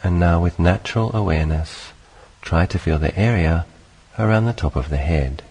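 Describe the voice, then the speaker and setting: A man leading a guided meditation, speaking calmly in short, unhurried phrases with long pauses between them. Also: low-pitched, slightly rough, poor audio. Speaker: meditation teacher.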